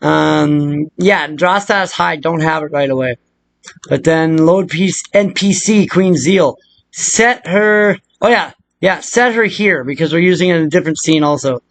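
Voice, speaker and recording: A man with a notably high-pitched voice walks through a game editing tutorial. He talks casually and loosely, thinking aloud as he goes, with an irreverent, rambling delivery. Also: a little slow, no room sound. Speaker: tutorial presenter. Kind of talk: casual, improvised screen-share game modding walkthrough.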